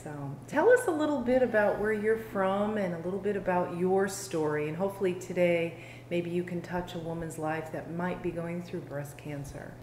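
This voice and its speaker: A woman hosting a show, introducing and interviewing a guest with gentle, unhurried questions. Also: lowish voice. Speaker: host. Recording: roomy sound with faint background noise.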